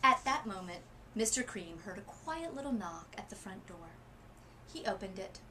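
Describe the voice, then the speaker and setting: A woman narrates a children's picture book in an expressive read-aloud style. Her phrasing is deliberate and dramatic, at a medium pitch, with noisy ambience in the recording. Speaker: literacy coach reading a picture book aloud.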